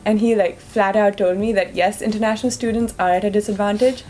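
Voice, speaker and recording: A young woman from India, a student guest in an interview, speaking frankly with quick asides. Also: medium pitch, steady tone, darkish tone, slight background noise, rough recording. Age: young adult. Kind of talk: casual interview answers.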